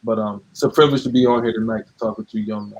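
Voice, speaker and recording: A man speaking as a guest mentor in a casual video call chat, steady and earnest. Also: fairly low pitch, fast, low-quality recording.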